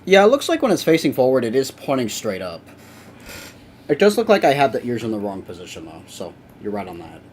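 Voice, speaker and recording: A man's voice: mid-range voice, sing-song, brisk, slight background noise. A young man chatting casually with viewers on a live stream while he works, rambling and swearing now and then.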